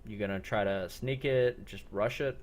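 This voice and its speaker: A man acting as game master, narrating a role-play scene in a halting, descriptive way and putting questions to players. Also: fairly low pitch. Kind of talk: improvised narration of a tabletop-style zombie role-play.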